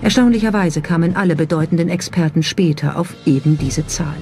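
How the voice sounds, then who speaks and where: A documentary narrator reads from a script in a very mellow, relaxed voice. The pitch sits in the middle, and faint music plays behind. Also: fairly quick.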